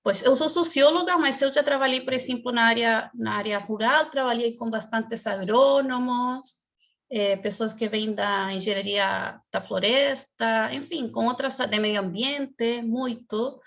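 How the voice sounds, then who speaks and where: Woman guest speaker, fairly high voice, measured and explanatory, on a video call. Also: a bit bright. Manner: measured, explanatory, searching for words. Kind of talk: career talk in a webinar.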